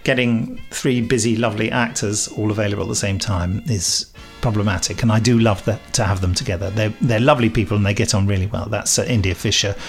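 A man's voice: fairly low pitch, fairly even intonation, quick pace, vocal fry, hardly any pauses, soft background music. Jovial, self-deprecating male podcast host. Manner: jokey, chuckling, self-deprecating. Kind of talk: casual podcast chat.

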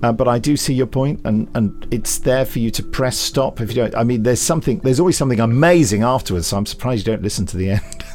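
A man's voice: mid-range voice, quick pace, darkish tone, soft background music. A British man co-hosting a podcast in a chatty way, enthusiastic and given to wry, teasing asides. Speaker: podcast co-host.

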